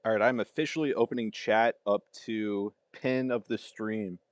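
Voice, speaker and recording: A man's voice: fairly low pitch, steady tone, normal speed, muffled recording. A man giving casual, off-the-cuff reaction commentary as a livestreamer. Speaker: livestreamer reacting to fan-made videos.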